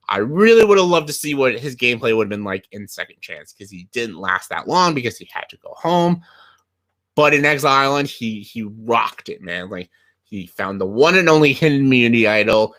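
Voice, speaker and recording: A young man hosting a casual list video, a little hoarse from losing his voice, rambling with many fillers and restarts. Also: medium pitch, bright, forceful tone. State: slightly hoarse.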